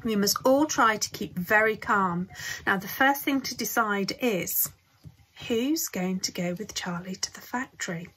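A woman voicing a calm, sensible mother trying to keep her family steady, in a storybook reading. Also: medium pitch, a little slow, a little hiss or hum, close to an everyday voice, poor audio. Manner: soothing, gentle.

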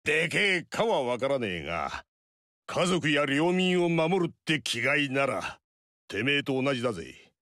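A gruff, teasing veteran warlord. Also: male voice, medium pitch, big pitch swings, measured pace, fairly bright tone, no echo, studio quality. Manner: rough, teasing, drawling. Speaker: warlord character. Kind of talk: video game character dialogue.

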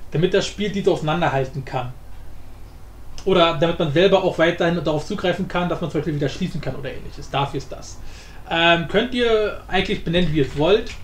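A man hosting a casual screencast tutorial, thinking aloud in an informal, improvised way. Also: high voice. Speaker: tutorial host. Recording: roomy echo, faint background noise.

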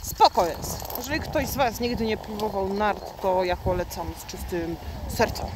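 A young woman from Poland chatting to her viewers on a night ski slope. She jokes and talks excitably into a phone held at arm's length. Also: mid-range voice, moderate pace. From Kalisz, Poland. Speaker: vlogger. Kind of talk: casual vlog chat to viewers. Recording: noisy outdoor phone audio, wind and snow.

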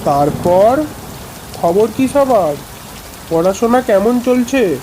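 A man playing a grandfatherly retired forest officer who tells his ghost adventure in a measured, conversational way for a horror cartoon. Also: sits high, animated, noisy background. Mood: friendly. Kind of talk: dramatised horror storytelling. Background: background music.

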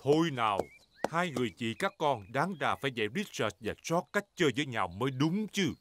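Casting: male actor as easygoing cartoon dad pig, reassuring, very expressive swoops. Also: medium pitch, a little fast, noisy background, natural voice. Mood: mildly chiding. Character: jovial, easygoing father pig. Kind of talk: Vietnamese cartoon dub. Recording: prominent cartoon music behind voice.

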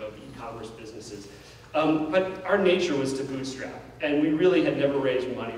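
Middle-aged man, keynote storyteller, rapid and candid, heard from far back in a big hall. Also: mid-range voice, slight background noise. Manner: animated, gestures while pacing the stage; candid, self-deprecating. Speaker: keynote speaker. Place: large indoor auditorium stage. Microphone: handheld mic.

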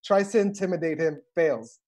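A woman's voice: on the low side, normal speed, dark tone, a little hiss or hum. A woman reading script stage directions aloud at a table read in an informal, wry narrating style.

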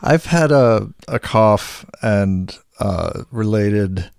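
A middle-aged man co-hosting a casual podcast chat, sometimes hoarse and clearing his throat, rambling with many restarts. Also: fairly low pitch, animated, extremely slow, a little mellow, dry recording, clean studio sound. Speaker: podcast co-host.

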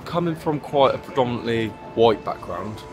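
A man's voice: medium pitch, expressive, normal speed, over music. A young man from the West Country, a university student, earnestly answering a street interview outdoors. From West Country, England. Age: young adult.

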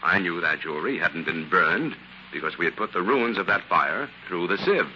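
Casting: assured 1940s radio detective, low and forceful, faintly noisy vintage sound. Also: male voice, animated, normal speed. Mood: assured, explaining. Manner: authoritative, explanatory. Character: assured male scientist detective explaining a solved case. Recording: dull, hissy 1940s radio recording.

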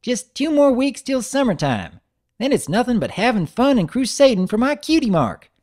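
A man's voice: very high voice, big pitch swings, normal speed, a little mellow, pitched above the performer's own voice. A man voicing a cheerful, eager young schoolgirl pony in a story reading. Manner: chirpy, folksy.

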